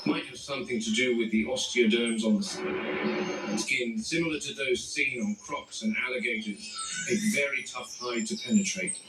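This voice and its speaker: A man playing a grave, wary animal expert who narrates found footage in measured, articulate, formal phrases. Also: medium pitch, lightly acted. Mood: clinical, wary. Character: male game warden and animal expert, grave, wary, exacting. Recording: echoey, noisy VHS-style found footage, distant.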